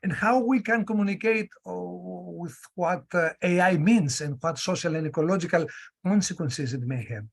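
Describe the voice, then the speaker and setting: A man's voice: high-pitched, moderate pace, gravelly. A man interviewing a guest in a conversation, mostly adding brief agreeing remarks.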